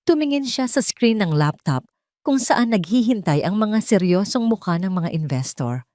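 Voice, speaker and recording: A woman reading a scripted story as narrator, with a measured, dramatic delivery. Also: mid-range voice, expressive, a little mellow, no room sound, studio quality.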